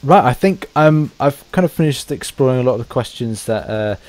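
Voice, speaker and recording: A man hosting a casual podcast interview, speaking in halting, hesitant phrases as he puts questions to his guest. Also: mid-range voice, expressive, a little mellow, dry recording.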